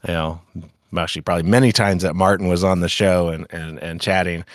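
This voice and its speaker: Low-voiced man with a head cold. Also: fairly even intonation, brisk, no echo, studio quality. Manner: rambling, many fillers and restarts, laughs often. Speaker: podcast co-host. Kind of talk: casual chat about amateur radio news.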